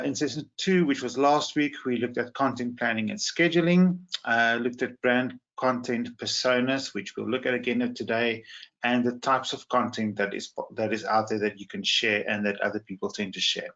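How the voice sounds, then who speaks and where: A man with a croaky voice presenting a social media training webinar, hesitant with many fillers. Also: mid-range voice, a bit bright. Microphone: video call. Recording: muffled video call audio.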